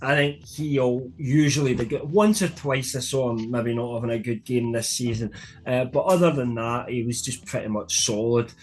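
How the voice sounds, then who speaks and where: A man's voice: medium pitch, normal speed, a little mellow, rough recording. British man chatting football over music. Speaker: sports podcast host. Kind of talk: casual football chat with friends.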